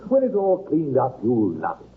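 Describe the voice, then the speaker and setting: Animated British gentleman charmer. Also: medium pitch, moderate pace. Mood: coaxing, jovial. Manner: hearty, coaxing. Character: cheerful, smooth-talking gentleman charmer with a scheming side. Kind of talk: old-time radio acting. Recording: old radio recording, very narrow band.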